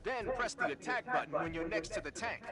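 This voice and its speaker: An actor playing a brisk, knowledgeable military support officer in a video game, explaining and instructing with emphasis. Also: male voice, sits high, lively intonation. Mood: instructive. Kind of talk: video game radio briefing. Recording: loud music under the voice.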